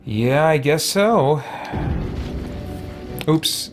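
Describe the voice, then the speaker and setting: A man's voice: a little high, expressive, extremely slow, over music. A man giving casual let's play commentary as a video host, thinking aloud with muttered asides and exclamations.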